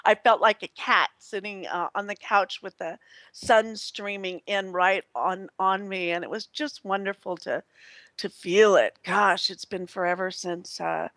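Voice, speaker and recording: A woman hosts a radio show in a chatty, effusive way. Her voice sits at a medium pitch for a woman and is recorded close and dry. She talks casually and off the cuff, meandering as she goes. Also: fairly even intonation, a little slow, bright, forceful tone, no echo. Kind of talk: casual unscripted radio talk. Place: live internet radio broadcast.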